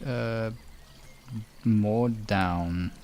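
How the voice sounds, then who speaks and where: A man's voice: on the low side, very slow, a little mellow, a little hiss or hum. A man hosting a livestream, chatting casually and swearing freely. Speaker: livestream host.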